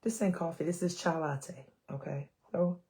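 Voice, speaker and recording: A woman's voice: sits low, steady tone, normal speed, dark tone, slightly rough. An American woman vlogger talking casually to camera at home, emphatic and folksy, repeating phrases for emphasis, her voice a little worn as she loses it. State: losing her voice. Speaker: self-care and parenting vlogger. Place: indoors at home.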